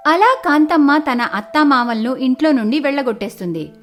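A woman narrating a scripted village moral story in an expressive storytelling style. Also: high voice, brisk, faint music behind.

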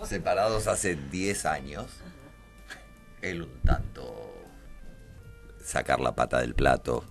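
A man, a guest in a radio studio who describes a play in a relaxed, storytelling way and laughs often. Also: extremely low pitch, slow, creaky, long pauses, faint music behind. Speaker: guest actor. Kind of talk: casual radio interview. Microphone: studio mic with headphones.

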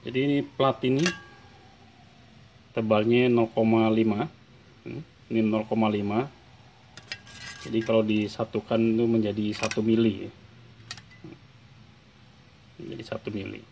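A man showing a spot welding machine in a workshop, slowly repeating each point and tagging lines with "ya". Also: lowish voice, level tone, creaky, background noise. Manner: slow, repeats points with a tag "ya". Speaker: product reviewer. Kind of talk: casual tool demonstration. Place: workshop bench, indoors.

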